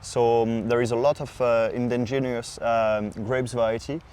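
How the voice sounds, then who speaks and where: A man from France with a French accent, a non-native speaker, explaining wine informally as a podcast sommelier with hesitant, halting phrasing. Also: mid-range voice, level tone, a little mellow, clear, resonant tone.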